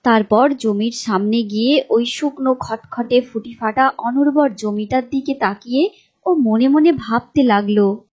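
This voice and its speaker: A woman's voice: a little high, a little slow, a little mellow, lo-fi sound. A woman narrating a scripted story as a storyteller. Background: music.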